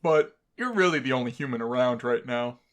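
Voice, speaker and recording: A man's voice: mid-range voice, animated, fairly quick, close to an everyday voice. A man voicing a laid-back, joking male skeleton in a dating game, with a casual, wisecracking manner. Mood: earnest, reassuring.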